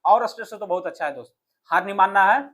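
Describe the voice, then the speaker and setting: A man's voice: high-pitched, expressive, fast, fairly bright tone. A man with a Bihari accent speaking as an exam coach, explaining informally and directly, often asking questions and answering them himself. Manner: conversational, addresses viewers directly, often asks then answers his own questions.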